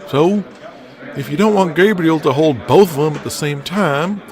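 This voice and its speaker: A man's voice: a little high, moderate pace, a little mellow, lightly acted. A man voicing a crude, fretful wrestling booker in an audio drama, blunt, foul-mouthed and scheming.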